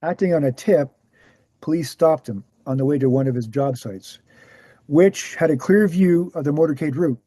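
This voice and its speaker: Man acting as a mellow, high-voiced, measured lecturer. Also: no room sound, close to an everyday voice. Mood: grave, explanatory. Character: measured male author answering assassination questions at a talk. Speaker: actor in a screenplay table read. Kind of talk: scripted dialogue read aloud.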